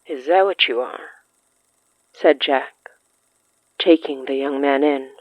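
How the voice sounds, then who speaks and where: A narrator telling a scripted literary horror story in a measured way, with dramatic pauses between short phrases. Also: medium pitch, no echo, lo-fi sound. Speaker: narrator of a horror fiction podcast.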